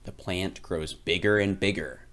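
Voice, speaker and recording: A man reading a children's picture book aloud, phrasing it deliberately and with expressive emphasis. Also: low voice. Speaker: read-aloud reader.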